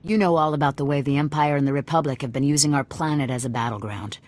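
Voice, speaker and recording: Low-voiced woman, acted, as wary rebel boss. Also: fast talker, darkish tone, natural voice. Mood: businesslike. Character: wary, commanding female terrorist cell leader. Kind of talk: video game dialogue acting.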